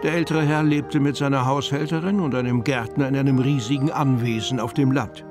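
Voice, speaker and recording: A man's voice: medium pitch, steady tone, brisk, natural voice. A man narrating a children's fantasy radio play as a storyteller. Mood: calm storytelling. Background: music.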